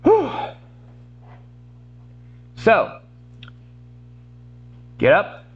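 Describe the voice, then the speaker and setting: A woman's voice: fairly high pitch, wildly swooping pitch, drawn-out words, slightly rough, phone-quality sound. A woman streamer chatting casually to viewers on a livestream. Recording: loud music under the voice.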